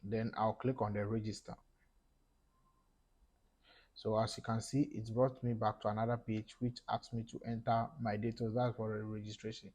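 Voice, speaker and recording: A young man with a Ghanaian English accent narrates a screen tutorial in a casual way, stopping now and then to find his words. His voice sits low and sounds a little husky. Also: flat delivery, darkish tone. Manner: casual explaining, talks through each step, halting with fillers. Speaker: tech YouTuber. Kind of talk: screen-recorded tutorial walkthrough. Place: small room indoors.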